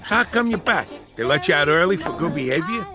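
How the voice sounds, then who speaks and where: An actor playing a cocky young hustler, slick and self-assured, in a game cutscene. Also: male voice, a little high, animated, fast, bright, forceful tone, soft background music. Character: cocky young hustler friend, smooth talker.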